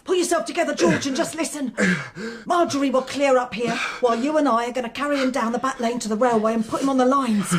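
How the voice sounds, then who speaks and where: An actress with an English accent playing a middle-aged, ruthless mother in a radio drama, brisk and bossy. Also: high-pitched, a crowd in the background, lightly acted. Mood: commanding. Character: cold, commanding, ruthless mother who takes charge.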